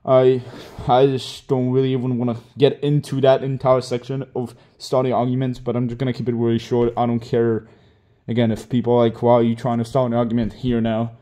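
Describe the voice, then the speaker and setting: A man's voice: medium pitch, monotone, brisk, mellow. A young man whose first language is Hebrew, giving a rambling, defensive apology in casual speech with many fillers. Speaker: online gamer.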